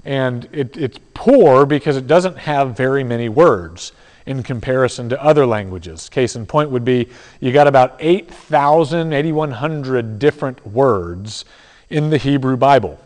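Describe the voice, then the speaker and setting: A man giving a sermon in a teaching manner, with dramatic pauses and soft drops in his voice. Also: medium pitch. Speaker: preacher. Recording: long hall reverb.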